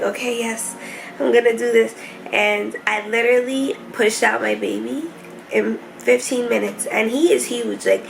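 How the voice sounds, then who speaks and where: A woman's voice: fairly high pitch, gravelly, slight background noise, low-quality recording. A young woman vlogging casually and candidly from a hospital room, laughing often, tired and stuffy-nosed.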